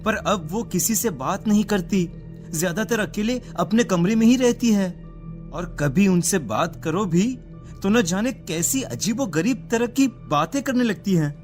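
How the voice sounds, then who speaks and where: An actor playing an anxious, suspicious younger brother in a cartoon drama, urgent and insistent. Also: male voice, high-pitched, expressive, measured pace, a little mellow. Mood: urgent, worried. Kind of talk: dramatic cartoon story acting. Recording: music behind the voice.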